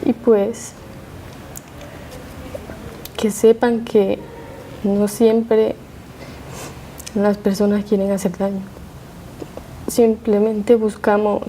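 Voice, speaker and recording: A woman speaks slowly and hesitantly in a warm, low-key tone that barely rises or falls. A faint ambient hum sits behind her. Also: poor audio. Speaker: migrant interviewee. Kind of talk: testimony.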